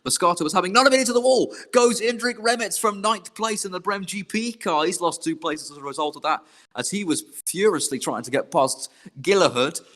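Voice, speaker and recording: A man calling a sim race with a high, excitable voice that keeps climbing toward a shout. He sounds hoarse, as if his voice is wearing out. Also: a little fast. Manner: excitable, rising to shouts in tense moments. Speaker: race commentator. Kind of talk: sim racing commentary.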